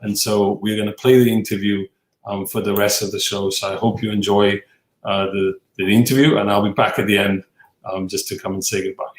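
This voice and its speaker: A male podcast host introducing a film and then questioning his guest, with a halting delivery full of fillers. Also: fairly low pitch, flat delivery, roomy sound, poor audio.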